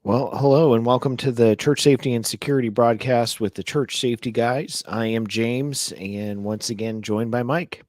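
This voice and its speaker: A man co-hosting a casual podcast chat, hoarse with a sore throat, talking close to his mic in a rambling, chatty way. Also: mid-range voice, fairly even intonation, moderate pace, few pauses, darkish tone, no echo. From Ohio. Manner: chatty, rambling, laughs often. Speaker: podcast co-host. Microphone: mic held very close.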